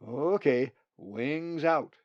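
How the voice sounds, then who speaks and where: A man's voice: on the high side, extremely slow, no room sound, muffled recording, voice pushed up. A man voicing a kindly male raven who patiently teaches and encourages the young in a storybook. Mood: encouraging. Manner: clear instructing, encouraging.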